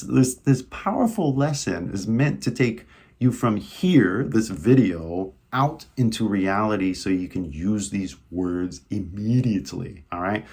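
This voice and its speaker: A man's voice: fairly low pitch, vocal fry, slightly rough. A man teaching English in a casual video lesson, coaching with repetitive questions like "right?".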